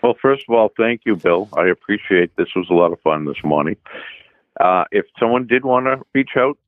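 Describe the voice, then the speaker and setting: A man's voice: fairly low pitch, lively intonation, moderate pace, dry recording. A man with a Boston accent, a guest in an interview, speaking in a thoughtful, somewhat hesitant way. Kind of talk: casual interview. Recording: tinny phone line.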